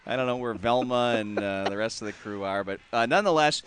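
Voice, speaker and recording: A man from the Upper Midwest hosting a local hockey talk show, chatty and quick to laugh, with many filler words. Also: mid-range voice, fairly bright tone, slight background noise. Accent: Upper Midwest American. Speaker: host. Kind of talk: casual sports talk.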